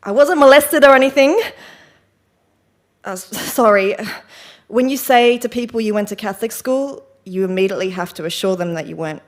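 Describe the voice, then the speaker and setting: An actress playing a rattled, self-deprecating woman in a confessional stage monologue, speaking in a wry, halting, defensive way. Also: medium pitch, close to an everyday voice. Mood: rattled, awkward. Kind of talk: theatrical monologue, conversational and confessional.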